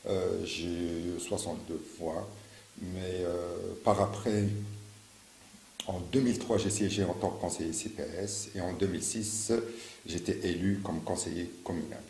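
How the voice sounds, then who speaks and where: A man in his fifties from Morocco answers campaign questions, pausing often and restarting his sentences. His voice is low and gravelly with a mellow tone. The recording sits in an echoey room with faint ambience. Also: slight background noise. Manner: hesitant, many fillers and restarts. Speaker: local election candidate. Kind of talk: campaign interview.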